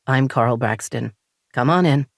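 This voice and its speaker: A calm, measured male therapist in his forties, read by a woman. He speaks slowly and steadily in a low voice. The sound is close and dry. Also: no room sound, full character voice.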